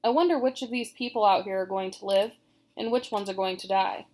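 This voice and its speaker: A woman's voice: medium pitch, a little fast, a little mellow, close to an everyday voice. A woman reading aloud, voicing an earnest, principled young boy who speaks seriously.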